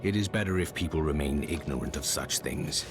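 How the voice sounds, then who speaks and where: A stern game hero with an extremely deep voice. He is terse, formal and commanding, over loud music. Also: fairly quick. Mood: grave. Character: stern, grave male warrior hero. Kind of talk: video game cutscene acting.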